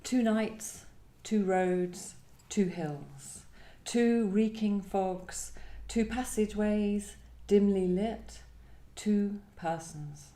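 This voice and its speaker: Mellow woman poet at a live reading, thoughtful, bit tentative, roomy echo. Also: medium pitch, very slow, hardly any pauses. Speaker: guest poet. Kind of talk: live poetry event, introducing and reading poems. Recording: echoey room.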